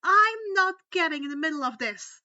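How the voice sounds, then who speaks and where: A woman's voice: extremely high pitch, expressive, normal speed, sharp tone, no echo, muffled recording, pitched above the performer's own voice, exaggerated voice. A woman voicing an indignant, grumbling, snobbish teenage schoolboy in a story reading, in a huffy, exclaiming manner. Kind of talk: audiobook-style fan fiction character reading.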